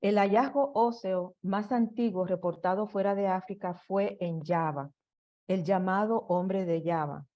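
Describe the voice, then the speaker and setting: Slow, halting woman giving a lecture. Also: mid-range voice, muffled recording. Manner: slow and halting, with restarts and fillers. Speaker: presenter. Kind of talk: slide presentation lecture.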